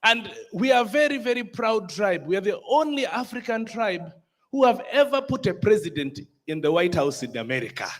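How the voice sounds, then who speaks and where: A man with a Ugandan accent, emceeing a conference from a stage mic in an energetic, crowd-rousing way. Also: sits high, normal speed. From northern Uganda. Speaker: conference master of ceremonies. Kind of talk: lively emcee hosting a conference. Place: large conference hall.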